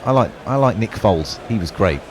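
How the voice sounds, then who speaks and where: Low-voiced genial man. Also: expressive, a little fast. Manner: genial, reads messages aloud and banters. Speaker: co-commentator reading listener tweets. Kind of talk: casual radio chat. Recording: faint background noise.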